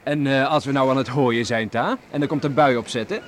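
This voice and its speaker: Actor as respectful young farmer son, slight hiss. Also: male voice, medium pitch, brisk, close to an everyday voice. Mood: teasing. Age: young adult. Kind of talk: radio drama acting. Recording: noisy old radio play recording.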